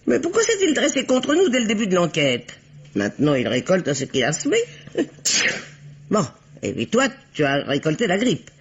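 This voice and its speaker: An actress playing a bossy, witty, prying older spinster in a theatrical old radio mystery. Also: female voice, on the low side, wildly swooping pitch, moderate pace, husky, heavily acted. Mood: scolding, brisk. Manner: grand, theatrical, teasing. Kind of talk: old French radio play acting. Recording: dull, lo-fi old radio recording.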